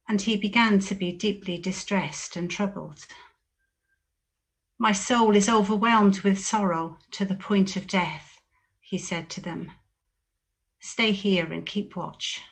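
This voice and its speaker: A woman reading scripture solemnly and in a measured way, lifting the quoted speech with expression. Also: medium pitch, a bit bright. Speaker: scripture reader.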